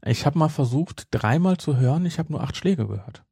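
A man's voice: fairly high pitch, expressive, normal speed, vocal fry, dark tone, slightly rough, no room sound, studio quality. A man hosting a podcast, chatting casually about an audio drama in a teasing, nitpicking way. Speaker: podcast host.

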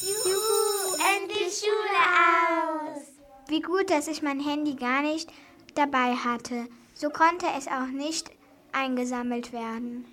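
A woman's voice: sits high, steady tone, slow, long pauses, bright, forceful tone, exaggerated voice. A female performer playing an eager, adventurous schoolgirl in an amateur radio play for children. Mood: cheerful.

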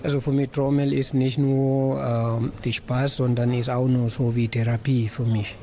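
A man's voice: mid-range voice, flat delivery, moderate pace, crowd noise. A man from Cameroon, interviewed as a drummer, speaking hesitantly with many fillers. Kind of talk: casual interview.

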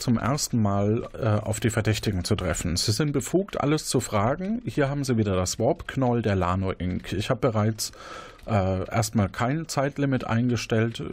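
A man narrating a tabletop mystery game as game master, hesitating often with fillers and restarts. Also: on the low side.

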